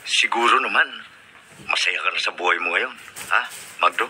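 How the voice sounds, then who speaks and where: Actor as a deep-voiced, prodding friend. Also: male voice, moderate pace, lightly acted. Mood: teasing. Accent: Filipino. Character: teasing, prodding male friend of the lovelorn man. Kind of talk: Filipino radio drama acting. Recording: noisy radio drama recording.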